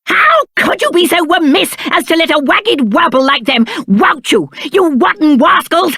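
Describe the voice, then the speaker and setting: A man's voice: extremely high pitch, very animated, moderate pace, creaky, sharp tone, dry recording, clean studio sound, exaggerated voice. A British man playing a spoiled, cowardly young prince in a video game, with a pompous, petulant and theatrical delivery. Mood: indignant, furious. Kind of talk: video game character acting.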